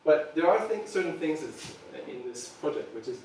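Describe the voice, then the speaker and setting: A man in his thirties from Serbia giving a presentation about his company, talking in a halting way with many ums and restarts. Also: fairly high pitch, sing-song, darkish tone, slight background noise. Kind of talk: presentation talk. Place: event space with an audience. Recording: echoey room, mic far off.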